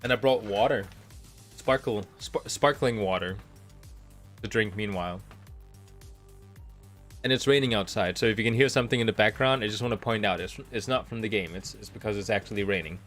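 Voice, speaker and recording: A man's voice: fairly low pitch, a little fast, long pauses, fairly bright tone, soft background music. A man with a slight cold and a nasal sound, chatting casually and thinking aloud while streaming a game from home. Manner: rambling, thinking aloud with restarts. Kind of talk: casual live-stream commentary. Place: home room, rain outside.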